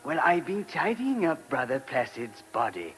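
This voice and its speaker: An actor playing an uneasy, apologetic monk in a radio play, speaking diffidently and hesitantly. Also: male voice, mid-range voice, wildly swooping pitch, moderate pace, fairly bright tone, faint music behind.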